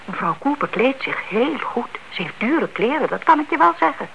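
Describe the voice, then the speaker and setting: Through a dull, noisy old radio-play recording comes a nosy older aunt. She is chatty and insistent, repeating herself as she pries. Her intonation is very lively. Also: a little high, normal speed, gravelly, full character voice. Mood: gossipy, curious. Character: nosy, suspicious older aunt, a gossipy neighbour. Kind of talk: Dutch radio play acting.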